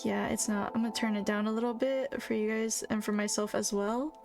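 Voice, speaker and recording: A young woman streamer in college chatting casually while playing a game, soft-spoken and fairly monotone. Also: fairly high pitch, fairly quick, creaky. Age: young adult. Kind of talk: casual live-stream chat while gaming. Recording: game music playing behind. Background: game music.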